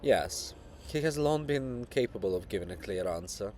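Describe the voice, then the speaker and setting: A man's voice: lowish voice, expressive, normal speed, a little mellow, slight background noise, voice pushed down, natural voice. A man voicing a proud, cold princess monument, guarded and grandiose, in a solemn, declamatory dramatic reading. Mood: reserved, stern.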